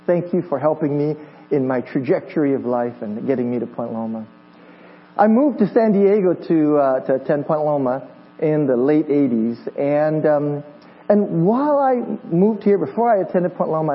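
A man in his fifties from Hawaii, a visiting pastor preaching a sermon in a friendly, joking way, with many fillers and restarts. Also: fairly high pitch. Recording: dull lo-fi church recording with mains hum.

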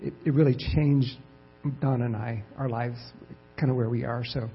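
A soft-spoken man giving a lay sermon as his church's missions president, halting with fillers and repeated words. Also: medium pitch, fairly even intonation, slightly rough. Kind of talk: lay sermon with scripture reading. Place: church sanctuary. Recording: dull lo-fi church recording.

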